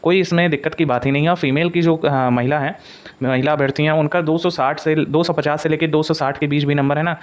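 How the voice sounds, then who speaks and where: A man's voice: on the high side, steady tone, brisk. A man giving an informal explanatory update as a coaching presenter, mixing in English words and repeating points for emphasis. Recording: dull, lo-fi audio.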